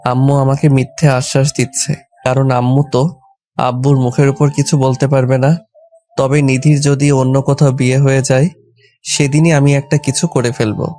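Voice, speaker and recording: A man's voice: medium pitch, level tone, moderate pace, mellow. A man reading a scripted first-person story as its narrator and lead character. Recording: background music under the voice. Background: music.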